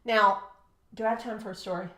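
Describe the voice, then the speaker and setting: A woman's voice: medium pitch, fairly even intonation, fairly quick, sharp tone. An American woman, a teacher co-hosting an education show, telling classroom stories and giving advice emphatically, acting out dialogue. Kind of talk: animated storytelling and teaching advice. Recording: echoey room.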